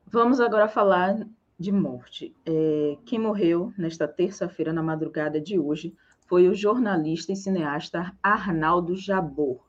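A woman's voice: lowish voice, unhurried, lo-fi sound. A woman with a Bahian Brazilian accent presenting live news in an informal, chatty way as a co-host.

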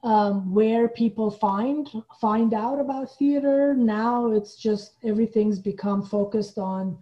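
A woman's voice: fairly high pitch, slow. Woman from Iran, slightly muffled sound. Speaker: guest, playwright and theater artistic director. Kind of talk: conversational interview.

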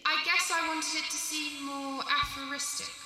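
A woman's voice: high voice, level tone, normal speed, pushed and bright, soft background music. A woman translator in a thoughtful literary conversation, recorded in an echoey, reverberant hall.

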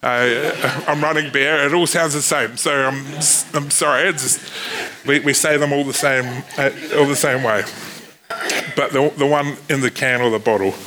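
A man's voice: medium pitch, steady tone, moderate pace, a crowd in the background, low-quality recording. A man in his thirties with a New Zealand accent, preaching a sermon in an earnest way with self-deprecating asides.

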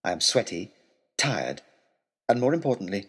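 A man's voice: on the low side, big pitch swings, moderate pace, fairly bright tone, slightly rough, exaggerated voice. An actor playing a put-upon, wry male tutor, reading his pompous, wordy, aggrieved letter aloud in a comic audio story. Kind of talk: scripted comic narration as a letter read aloud.

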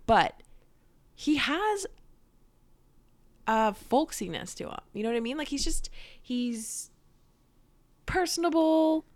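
A woman's voice: sits high, animated, slow, pauses often. A young woman co-hosting a podcast, chatting casually about a sitcom episode, swearing and saying "like" a lot. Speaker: podcast co-host.

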